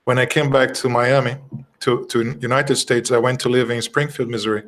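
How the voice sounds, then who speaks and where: A middle-aged man with a Brazilian Portuguese accent, a native Portuguese speaker, giving an informal class at a spiritist study group in an earnest, conversational way and often pausing to search for words. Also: mid-range voice, flat delivery, fairly bright tone. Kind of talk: informal doctrinal class talk.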